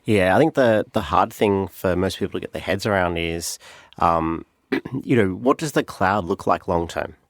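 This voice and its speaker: A low, gravelly-voiced Australian man talking through his points on a podcast. He explains carefully, with plenty of "um"s and restarts. The sound is close and dry, with no room around it. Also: normal speed, no room sound. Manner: thoughtful, explanatory, with fillers and restarts. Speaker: podcast guest, company CTO. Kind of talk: conversational podcast interview.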